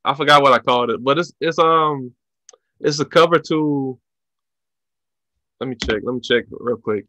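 A man in his thirties hosting a casual video-call interview from a small home room, talking haltingly and repeating words. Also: medium pitch, pushed and bright, no echo. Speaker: podcast host. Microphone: headset on a video call.

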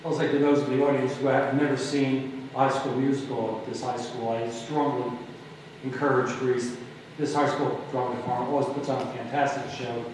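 A man chairing a public board meeting, brisk as he moves through the agenda. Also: mid-range voice, flat delivery, a little mellow. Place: large public meeting room. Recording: echoey hall from far off, background noise.

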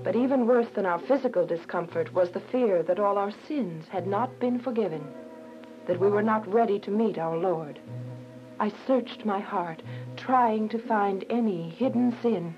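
An earnest, devout young woman played by an actress narrates a radio drama. Her voice is mid-pitched, with clear music behind it on a dull recording. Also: moderate pace, close to an everyday voice. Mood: somber, reflective.

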